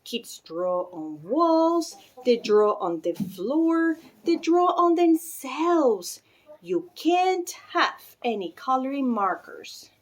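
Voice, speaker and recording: A woman voicing a reluctant but easily won-over mother in a storybook, measured and resigned. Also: high voice, big pitch swings, full character voice. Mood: wary.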